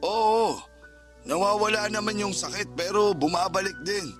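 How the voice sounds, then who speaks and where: A man's voice: high voice, steady tone, moderate pace, close to an everyday voice. An actor playing a stubborn, ailing father in a Filipino radio drama, snappish and complaining with a Filipino accent. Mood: weary complaining. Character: stubborn, irritable ailing father working abroad. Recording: music playing underneath.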